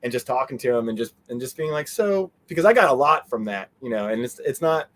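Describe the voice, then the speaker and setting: A man giving a casual interview as a guest, animated and meandering, often trailing off mid-sentence. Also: medium pitch, quick pace. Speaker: interview guest, fan convention organizer. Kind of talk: casual rambling interview chat.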